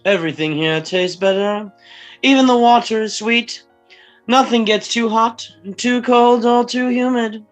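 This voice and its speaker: A woman's voice: fairly high pitch, measured pace, faint music behind, lightly acted. A woman playing a friendly, slightly drunk forty-year-old man, a small-town mayor who stammers and rambles in a screenplay table read. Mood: proud, friendly.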